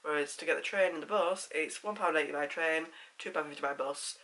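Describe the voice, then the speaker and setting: A man's voice: fairly high pitch, a little fast, sharp tone, low-quality recording. A man with a Yorkshire accent, chatting casually to camera as a vlogger, rambling with lots of fillers, a bit congested with a sore throat.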